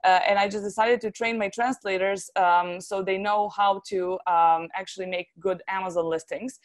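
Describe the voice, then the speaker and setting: A woman's voice: mid-range voice, steady tone, moderate pace. A woman from Serbia with a Serbian accent, talking casually and chattily as an interview guest. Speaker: interview guest, translation agency founder.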